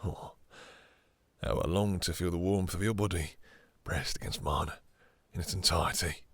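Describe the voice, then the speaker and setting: A man playing a possessive, domineering vampire master in an intimate roleplay audio drama, with slow, theatrical, condescending menace and a breathy, seductive purr. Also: low-pitched, no room sound, full character voice. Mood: seductive, hungry.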